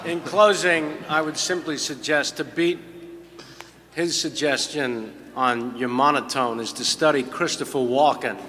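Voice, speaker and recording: A man's voice: fairly high pitch, fairly even intonation, unhurried, long reverb tail, background noise. A man on a startup panel giving teasing closing advice in a mock-grave tone. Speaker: panel judge.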